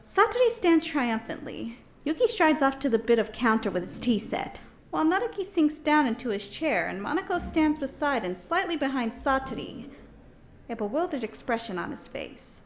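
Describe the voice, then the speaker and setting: A woman's voice: sits high, big pitch swings, normal speed, slight background noise. An actress playing a young heroine who narrates her inner thoughts in a visual novel audio drama. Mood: observant.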